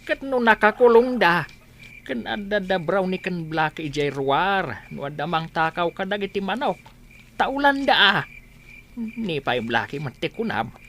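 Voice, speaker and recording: An elderly Ilocano father acted by a woman in a village radio comedy, with a very lively, swinging delivery. He exclaims and admonishes with concern, over faint background noise. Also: on the low side, measured pace. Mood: grumbling, practical. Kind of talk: comedy radio drama acting.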